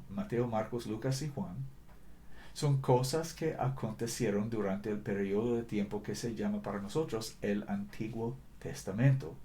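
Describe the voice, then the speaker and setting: A man preaching a sermon in a language that is not his first, earnest and deliberate, often correcting himself mid-sentence. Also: medium pitch, a little mellow, slight background noise. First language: not Spanish.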